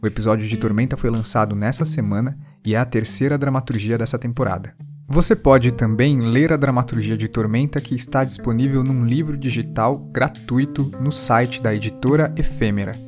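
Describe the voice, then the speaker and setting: A Brazilian man hosts a podcast with a mid-pitched voice. He introduces and asks questions in conversational language. Faint guitar music sits behind him. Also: fairly quick, darkish tone. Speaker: podcast host and interviewer.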